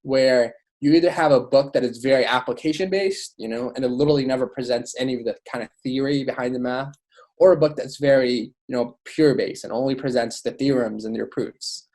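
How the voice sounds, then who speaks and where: A man's voice: mid-range voice, brisk, a little mellow. A young man, born in Iraq, talking informally and enthusiastically as a podcast guest, with lots of "like" and "you know". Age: young adult. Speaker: podcast guest, student author and educator. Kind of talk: casual interview talk.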